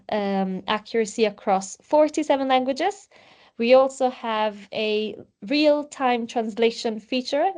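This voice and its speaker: A woman's voice: on the high side, darkish tone. Woman presenting a product demo. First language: Italian. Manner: explanatory, with frequent um fillers. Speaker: webinar presenter.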